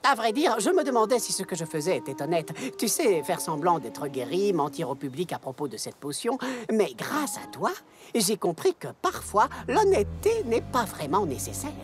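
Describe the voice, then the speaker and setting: A woman voicing a smooth-talking pony salesperson with a conscience, expressive and confiding, in a French-dubbed children's cartoon. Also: lowish voice, moderate pace, over music.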